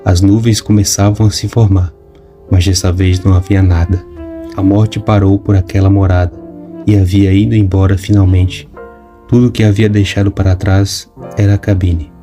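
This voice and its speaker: Guy narrating a story, lowish steady voice, music playing behind. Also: normal speed. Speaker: audiobook narrator. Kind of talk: scripted narration.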